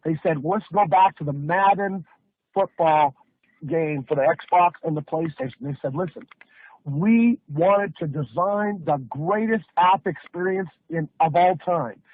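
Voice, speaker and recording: High-pitched man pitching over a muffled call. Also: unhurried, no echo. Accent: North American. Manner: emphatic, hyped storytelling with rhetorical questions. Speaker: network marketing presenter. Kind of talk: sales pitch on a conference call. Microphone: phone or conference line. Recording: muffled, band-limited conference call audio.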